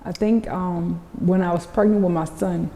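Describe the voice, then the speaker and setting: A woman's voice: fairly low pitch, steady tone, normal speed, mellow. A woman in her thirties from New Orleans with a New Orleans accent, telling stories in a casual interview and acting out what others said. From New Orleans, USA. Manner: storytelling, quotes others in dialogue. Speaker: guest, social media personality. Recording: reverberant roomy sound.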